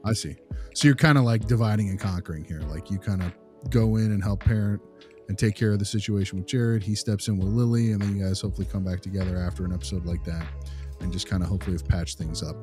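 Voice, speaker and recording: An easygoing behavior consultant played by a man, casual and reassuring in an improvised role-play. He talks quickly in a lowish, mellow voice. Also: fairly even intonation, lightly acted. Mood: friendly, attentive. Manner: casual, reassuring, rephrases what he hears. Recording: music bed under the voice.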